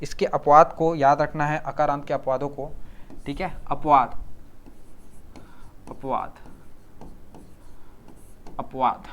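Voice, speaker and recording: This is a man teaching exam-prep grammar in Hindi with a Chhattisgarh accent. His voice is clear and resonant and a touch higher than most men's. He repeats each word over and over and keeps asking whether everyone follows. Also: fairly even intonation, slow, long pauses, fairly bright tone. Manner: repeats words and asks the class to confirm.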